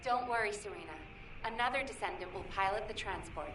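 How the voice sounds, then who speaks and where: A woman voicing a calm female mission officer in a video game cutscene, brisk and steady. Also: medium pitch, close to an everyday voice. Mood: reassuring. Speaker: game character. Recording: roomy game audio from a distance with noise.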